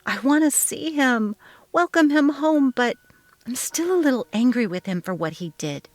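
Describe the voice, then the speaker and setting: A woman's voice: sits high, lively intonation, moderate pace, dry recording, pitched above the performer's own voice. A woman voicing a worried middle-aged mother in a dramatized text-message story, with an emotional, anxious manner. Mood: excited, conflicted.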